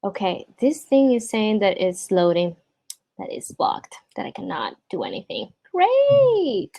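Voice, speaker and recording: A woman's voice: fairly low pitch, sing-song, moderate pace, creaky, warm tone. A woman from Puerto Rico, a native Spanish speaker with a Puerto Rican accent, hosting an informal online presentation in a chatty way. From San Juan, Puerto Rico. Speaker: host, product designer reviewing a portfolio. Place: remote video call.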